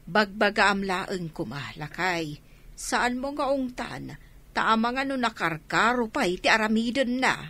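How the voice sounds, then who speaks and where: Sharp-voiced, nagging mother. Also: medium pitch, animated, a little slow, full character voice. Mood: grumbling. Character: bossy, nagging mother laying down the rules. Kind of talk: Filipino radio drama acting.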